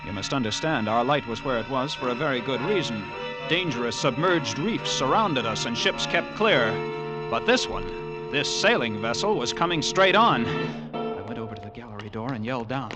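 A man's voice: medium pitch, expressive, natural voice. Man playing a storytelling lighthouse keeper over music. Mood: suspenseful. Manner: measured, vivid, dramatic storytelling. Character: lighthouse keeper recalling a tense sea tale. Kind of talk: classic radio drama first-person narration.

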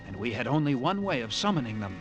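A man's voice: medium pitch, big pitch swings, dull audio, close to an everyday voice. Man playing a haunted lighthouse keeper, music behind. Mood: despairing. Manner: dramatic, halting, intense, with suspenseful pauses. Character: haunted male lighthouse keeper recounting a grim ordeal. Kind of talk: old-time radio drama narration.

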